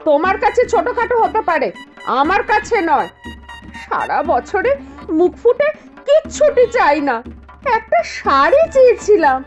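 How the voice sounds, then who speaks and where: A woman's voice: very high-pitched, lo-fi sound. A woman voicing a hot-tempered, dramatic Bengali housewife in a cartoon. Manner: scolding, dramatic. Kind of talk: cartoon voice acting.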